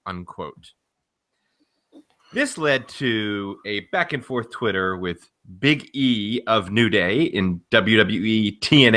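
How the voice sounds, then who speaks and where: A man's voice: on the low side, bright, forceful tone. A man co-hosting a podcast, giving casual commentary with a dry, wry delivery. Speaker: podcast co-host. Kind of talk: casual commentary, reading out tweets.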